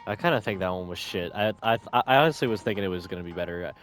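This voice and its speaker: Casting: casual male game streamer, lively pitch swings, indecisive mutterer, dry recording with game music behind. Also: fast. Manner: indecisive muttering, thinking aloud. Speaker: gamer playing on stream. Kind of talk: casual gameplay commentary.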